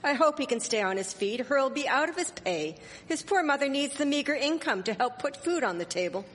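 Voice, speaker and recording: A proper, sharp-witted Victorian townswoman, played by a woman in a live radio drama. She speaks wryly in a high, animated voice that echoes in a hall. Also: fairly quick. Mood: gossipy.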